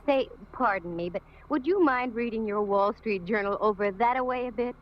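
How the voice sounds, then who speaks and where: A woman's voice: on the high side, normal speed, close to an everyday voice. A worldly, sardonic woman detective as a radio actress plays her tosses off wisecracks in theatrical 1940s radio fashion. The old recording is muffled, with some hiss and noise behind her. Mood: wry, breezy. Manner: wry, sardonic, theatrical delivery. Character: wisecracking, worldly female private eye, former model. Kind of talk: 1940s-50s radio drama acting and narration.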